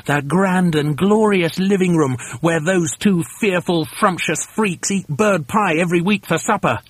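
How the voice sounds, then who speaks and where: A man voicing a bossy, excitable father monkey in a storybook, impatiently shouting orders. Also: high voice, unhurried, no room sound. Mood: proud.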